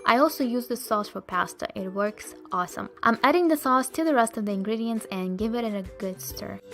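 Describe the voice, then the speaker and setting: A woman's voice: fairly high pitch, brisk, music in the background. A young woman from Russia with a Russian accent, chatty and upbeat as she narrates a recipe vlog. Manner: upbeat, chatty, addresses viewers as "you guys". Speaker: cooking vlogger.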